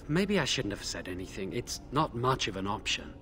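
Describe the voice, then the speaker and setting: A man's voice: lowish voice, big pitch swings, moderate pace, pushed and bright, a little hiss or hum. A man playing a regretful, hesitant mage in a fantasy video game, speaking apologetically. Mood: regretful, somber. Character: regretful, hesitant mage explaining a grim ritual.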